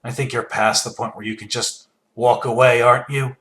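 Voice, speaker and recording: Man acting a sardonic, bright-toned game character. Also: medium pitch, little pitch movement, moderate pace, close to an everyday voice. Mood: challenging. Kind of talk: video game character dialogue.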